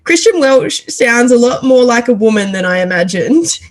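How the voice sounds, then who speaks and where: A young Australian woman with a slightly high voice chats casually as a podcast co-host. She giggles often and talks loosely about the week's games over a video call. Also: expressive, moderate pace, low-quality recording. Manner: giggly, chatty, frequent laughter, high squeals when mimicking. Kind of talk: casual chat about rugby league.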